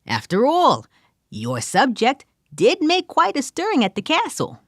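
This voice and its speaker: A woman's voice: high voice, huge pitch swings, unhurried, no echo, clean studio sound, voice pushed up, full character voice. A woman voicing an earnest adventurer who gives a grand, formal plea for peace.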